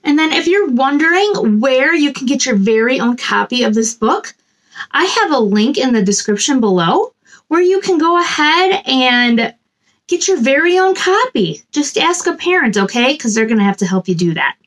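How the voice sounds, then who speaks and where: Woman, 30s, kids' story time host; high, very animated, bubbly read-aloud in a small room. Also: moderate pace. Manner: bubbly, sing-song talk to children. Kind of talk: reading a picture book aloud to kids. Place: small decorated room indoors.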